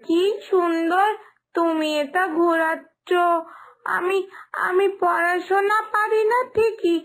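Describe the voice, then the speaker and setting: Woman voicing a pleading, very high-pitched little girl. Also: level tone, slow. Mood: eager pleading. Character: little village girl, playful, pleading, naive. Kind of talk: cartoon moral story acting.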